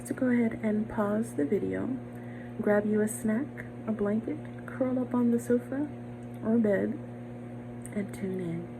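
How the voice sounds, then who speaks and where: A woman storyteller introducing a story reading to her channel's listeners. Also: a little slow. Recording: clear background noise, low hum.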